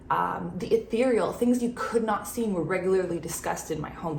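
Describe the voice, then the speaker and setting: A woman's voice: medium pitch, gravelly, roomy sound, a little hiss or hum. A woman in her thirties, talking earnestly and reflectively to camera about her spiritual practice, with some fillers and restarts. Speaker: spiritual channel host. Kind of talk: personal talk to camera.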